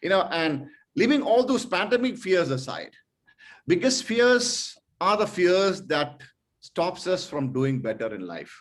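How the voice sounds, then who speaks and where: A man's voice: high voice, very animated, a little slow. A man chairing an online speaking club meeting, hesitant with fillers, then giving an earnest motivational talk full of rhetorical questions.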